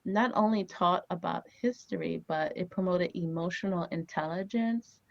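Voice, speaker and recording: Animated Haitian Creole-speaking woman therapist. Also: fairly low pitch, moderate pace, vocal fry, dry recording, muffled recording. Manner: animated storyteller, many "you know" fillers. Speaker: family therapist and author. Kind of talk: podcast interview guest.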